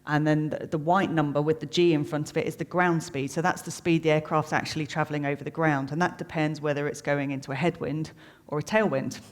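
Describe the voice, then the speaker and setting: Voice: mid-range voice, steady tone, fast talker, few pauses. A middle-aged air traffic controller giving an informal explanatory talk, plain-spoken and chatty, making technical detail simple. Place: reverberant room, live talk to an audience. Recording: long echoing hall reverb.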